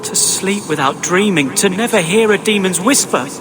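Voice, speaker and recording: A man's voice: high-pitched, normal speed, noisy background, close to an everyday voice. A young man playing an anguished, pleading young mage haunted by demons in a dramatic video game. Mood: grim, resigned.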